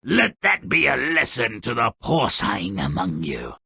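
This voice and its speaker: Nasty sarcastic gnome storyteller for a video game, raspy n forceful, slow drawl w tons of relish, super dry close sound. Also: male voice, medium pitch, huge pitch swings, no echo, exaggerated voice. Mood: wry menace. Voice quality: raspy, gravelly. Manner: sardonic, theatrical, drawling with relish. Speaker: narrator of a fairy-tale video game. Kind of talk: theatrical scripted storybook narration.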